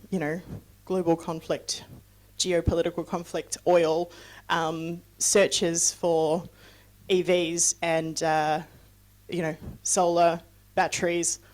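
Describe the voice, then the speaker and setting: Woman from new zealand, guest speaker, somewhat low voice, chatty talk full of fillers and asides. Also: darkish tone. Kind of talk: conversational presentation with audience questions.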